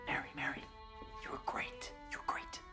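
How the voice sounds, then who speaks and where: A man's voice: normal speed, loud music behind the voice, natural voice. Actor as a 1920s radio station man. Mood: admiring.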